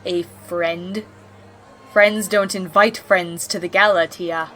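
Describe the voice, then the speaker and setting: A woman's voice: lowish voice, steady tone, slow pace, a bit bright, slight background noise. A woman voicing a blunt, indignant younger-sister pony princess in a scripted audio drama, sarcastic, exasperated and emphatic. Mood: skeptical.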